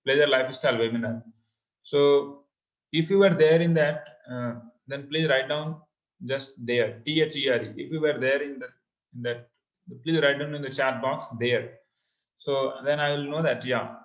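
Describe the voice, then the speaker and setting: Indian man teaching online, echoey room. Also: medium pitch. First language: Hindi. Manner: mixes English and Hindi, repeats phrases, encouraging. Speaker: online course instructor. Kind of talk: interactive webinar presentation. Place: indoor room, online video call.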